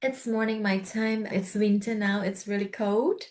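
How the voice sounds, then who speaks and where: Soft-spoken gentle woman host. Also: medium pitch, fairly even intonation, measured pace. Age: adult with a young son. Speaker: podcast host. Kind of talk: soft-spoken interview over video call. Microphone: video call recording.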